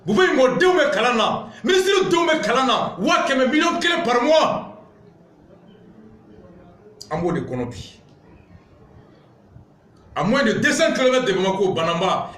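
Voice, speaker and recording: A man's voice: sits high, fairly bright tone, roomy sound. A man with a Malian accent delivering an impassioned political monologue, emphatic and indignant, hammering points through repetition. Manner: emphatic, indignant, repetitive rhetorical build-ups. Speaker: political commentator. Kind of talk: impassioned political monologue mixed with reading aloud.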